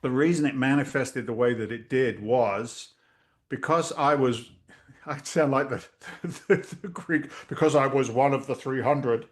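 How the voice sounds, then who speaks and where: A middle-aged man, a real estate investor telling stories in a casual interview over a video call, lively and blunt, acting out voices. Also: mid-range voice, unhurried. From Massachusetts. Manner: animated storyteller, acts out quoted voices, swears casually.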